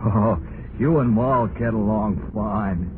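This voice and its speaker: An actor playing an eager, naive young newlywed husband and devoted son in a vintage radio drama, with folksy, boyish talk. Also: male voice, on the low side, takes it slowly, narrow, tinny audio. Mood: cheerful, reassuring. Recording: noisy, band-limited vintage radio recording.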